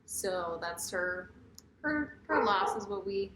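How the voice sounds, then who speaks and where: American female vlogger, mid twenties, casual storyteller with an average-pitched voice, echoey room, faint noise. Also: lively intonation, slow. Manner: informal, candid. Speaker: vlogger, co-host of couple's Q&A. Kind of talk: casual chat, personal storytelling.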